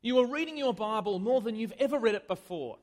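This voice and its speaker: A man preaching a conversational sermon in a church, chatty and self-deprecating, with lively swings of emphasis. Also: sits high, fast.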